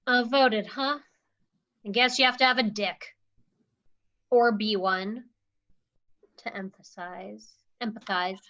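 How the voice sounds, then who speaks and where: A woman's voice: a little high, steady tone, slow, long pauses, a bit bright, lo-fi sound. A woman voicing a sarcastic, exasperated young female patient with a dry, dismissive manner.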